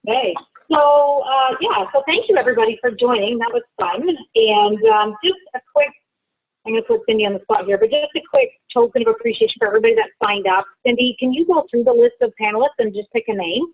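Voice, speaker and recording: A woman's voice: fairly high pitch, expressive, fast talker, no room sound. A woman from Ontario hosting a travel webinar, chatty and reassuring on a video call. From Ontario, Canada. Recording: tinny phone-like call audio.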